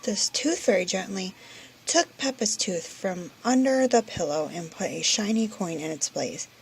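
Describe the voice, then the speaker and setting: A woman's voice: medium pitch, expressive, a little slow, creaky, a little hiss or hum, rough recording. A woman reading a children's storybook aloud expressively, as the narrator. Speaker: story reader.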